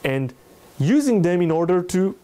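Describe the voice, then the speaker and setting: A man in his thirties with an Israeli accent, lecturing in halting but emphatic non-native English. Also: high-pitched, big pitch swings, warm tone. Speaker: lecturer, NGO researcher. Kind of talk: public lecture with slides. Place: indoor salon room, speaking to a small audience.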